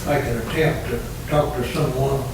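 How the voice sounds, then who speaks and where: A man's voice: medium pitch, steady tone, normal speed, vocal fry. A man with an East Tennessee accent speaks informally and plainly as a committee member at a meeting. His voice comes from away from the mic in a very echoey room, with noticeable noise. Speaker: county committee member leading questions. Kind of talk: informal questioning at a public meeting. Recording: very echoey, noisy room, distant microphone.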